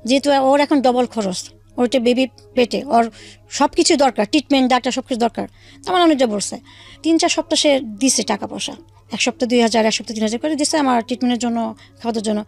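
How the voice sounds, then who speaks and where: A woman's voice: fairly high pitch, fast, vocal fry, darkish tone, soft background music. A woman with a Bangladeshi accent telling her own life story as an interview guest, in colloquial speech with quoted dialogue.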